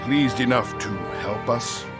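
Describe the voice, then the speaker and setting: Actor as blunt male dwarf, medium-pitched. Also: unhurried, loud music behind the voice, close to an everyday voice. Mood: impatient. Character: pragmatic, impatient male dwarf adventurer. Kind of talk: dramatic video game cutscene acting.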